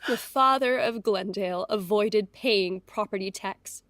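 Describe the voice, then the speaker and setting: An actress playing a sharp-tongued, scornful rival wife in an audio drama, with a dry, cutting, sardonic delivery. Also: female voice, medium pitch, unhurried, no echo, lightly acted. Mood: wry, knowing. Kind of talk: audio drama acting, period dialogue.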